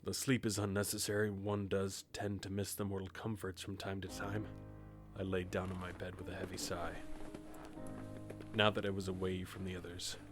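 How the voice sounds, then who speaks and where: An actor playing a weary, centuries-old grim reaper who speaks and narrates in a reserved, formal way for an audio drama. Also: male voice, deep voice, monotone, normal speed, music in the background, lightly acted. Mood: weary, brooding. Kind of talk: audio drama acting and first-person narration.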